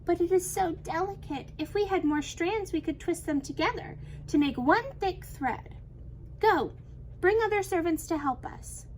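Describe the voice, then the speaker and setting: Lady doing young empress from a kids book, high voice, gentle n eager, slight background noise. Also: very animated, slow pace, few pauses, exaggerated voice. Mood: excited wonder.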